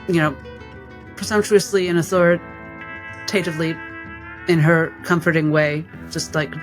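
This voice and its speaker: Low-pitched woman playing a tabletop game. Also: poor audio. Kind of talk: casual table chat.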